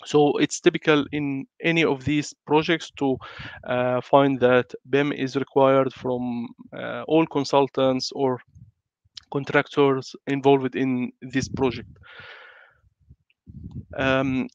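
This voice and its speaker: A man speaks with a congested, flu-thickened voice, pausing often on fillers and restarting his sentences as he presents. The audio is dry and slightly muffled, like a lo-fi call recording. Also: medium pitch, flat delivery, no room sound. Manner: hesitant, many fillers and restarts. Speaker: guest speaker on building information modelling. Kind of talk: online webinar presentation.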